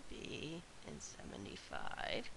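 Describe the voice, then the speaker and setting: A woman math instructor recording a lecture while congested from a sinus infection, her voice weak and stuffy, explaining slowly with frequent uhs and ums. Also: fairly bright tone, rough recording. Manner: slow, methodical explaining with frequent uh and um. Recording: faint hiss.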